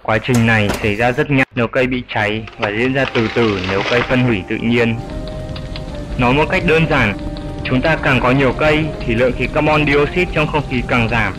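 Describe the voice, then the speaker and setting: A man voicing an old grandfather flying fox in an educational cartoon, explaining things in a measured, didactic way. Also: mid-range voice, music in the background, lightly acted, poor audio. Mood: instructive.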